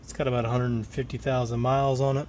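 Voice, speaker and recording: A man with a hoarse voice, recovering from tonsillitis, casually talking through a transmission teardown as he works. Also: medium pitch, little pitch movement, fast, a little mellow, a little hiss or hum. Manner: plain-spoken, working while talking. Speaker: transmission rebuilder. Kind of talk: casual shop walkthrough.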